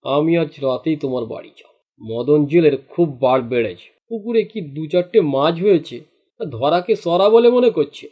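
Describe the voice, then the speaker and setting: A man's voice: fairly high pitch, normal speed, mellow, close to an everyday voice. A man voicing a jealous, greedy fisherman in a cartoon, grumbling and indignant in his delivery. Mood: spiteful, scheming. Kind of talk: cartoon dialogue acting.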